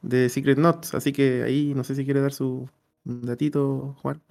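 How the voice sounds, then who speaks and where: A man's voice: mid-range voice, fairly even intonation, moderate pace, gravelly, darkish tone. A man with a Chilean accent co-hosting a live stream on a video call, chatting casually and repeating himself for emphasis. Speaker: co-host of a role-playing live stream. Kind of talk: casual chat. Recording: music playing behind the voice.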